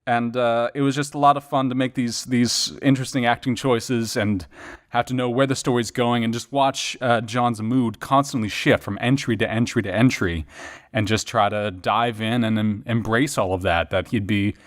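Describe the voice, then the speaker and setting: A man talks off the cuff about his acting and editing work on a podcast. He rambles along like a storyteller, thinking aloud as he goes, with an ordinary mid-pitched voice. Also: steady tone, normal speed. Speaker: podcast voice actor and editor. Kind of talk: casual reflective chat about acting work.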